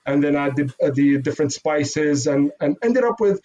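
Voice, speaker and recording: A man from Iraq, a modest interview guest who talks haltingly with many restarts. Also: a little high, animated, dark tone. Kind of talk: casual interview. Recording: dull lo-fi sound.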